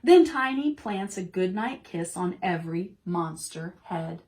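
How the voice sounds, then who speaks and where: A woman's voice: on the low side, lively intonation, unhurried, darkish tone. A woman leading storytime for preschoolers, speaking in an encouraging singsong with short, simple phrases. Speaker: library storytime leader for preschoolers. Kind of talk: children's storytime reading and guided action song.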